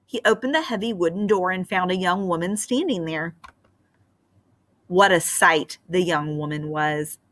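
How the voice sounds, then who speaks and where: A woman's voice: on the low side, normal speed. A middle-aged woman teacher reading a storybook aloud with expression, then explaining its moral to students over a webcam. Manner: expressive and animated. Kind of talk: storybook read-aloud and lesson. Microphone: webcam on a screen share.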